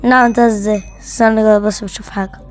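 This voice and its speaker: A child with an Egyptian accent chatting excitedly while playing a video game, playfully repeating phrases. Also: medium pitch, very fast, soft background music. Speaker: gaming video co-host.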